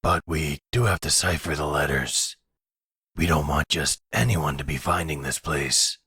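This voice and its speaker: A gruff werewolf pack leader acted by a man with an extremely low, rough husky voice. He speaks slowly and formally, guarded and protective yet courteous, in an intimate audio roleplay. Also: steady tone, pushed and bright, no room sound, studio quality, full character voice. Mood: measured, explaining.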